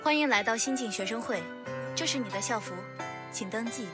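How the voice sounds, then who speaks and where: A woman's voice: fairly high pitch, expressive, brisk, close to an everyday voice. A woman voicing a polite, welcoming young student guide in a visual novel game, speaking formally. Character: courteous young female student guide at a magic academy. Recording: loud music behind the voice. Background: game music.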